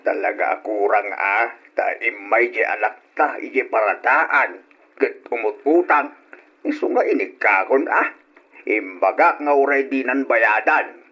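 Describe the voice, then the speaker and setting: Old radio serial, dull sound: a worried, gentle aged husband with a slightly rough, husky voice. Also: male voice, medium pitch, animated, a little slow, full character voice. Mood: grumbling. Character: mild elderly husband and anxious father. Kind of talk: old radio drama acting.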